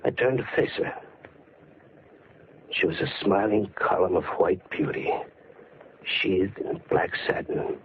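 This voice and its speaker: Haunted man, low-pitched, tinny radio. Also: a little slow, pauses often, slight background noise. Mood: brooding. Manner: dramatic, brooding, intense. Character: haunted, desperate man recounting his descent into madness. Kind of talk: 1940s radio mystery acting and narration. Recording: tinny vintage radio recording with music behind.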